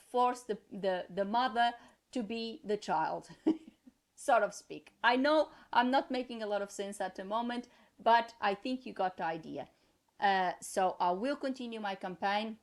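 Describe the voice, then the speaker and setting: A woman with a Portuguese accent, a native Portuguese speaker, talking to her viewers in a casual vlog, halting with many fillers but passionate. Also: medium pitch. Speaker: translator and vlogger.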